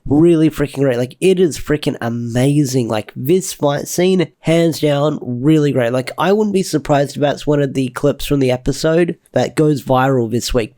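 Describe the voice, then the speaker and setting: A man's voice: mid-range voice, warm tone. A man with a stuffy, snuffly voice from a cold, casually reviewing a TV episode in an enthusiastic, rambling way. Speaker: TV show reviewer.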